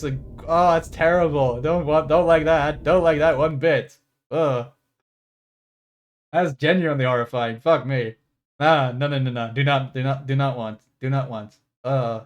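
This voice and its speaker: A man's voice: fairly high pitch, unhurried. A man streaming a game, giving casual live commentary in a rambling, repetitive way. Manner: rambling, repetitive, sweary reactions. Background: game music.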